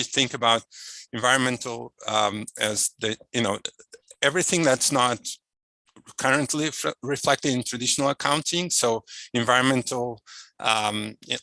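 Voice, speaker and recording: A man's voice: mid-range voice, sharp tone, no room sound, poor audio. A man moderating an academic finance panel on a video call, speaking with many fillers and restarts. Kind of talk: academic webinar introduction. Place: indoor office or home room. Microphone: headset on a video call.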